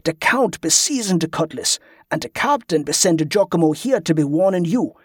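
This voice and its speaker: A man's voice: a little high, animated, moderate pace, slightly rough, no room sound, clean studio sound. A man voicing a loyal, wary islander who talks in quaint dialect grammar in an audiobook story. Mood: earnest, wary.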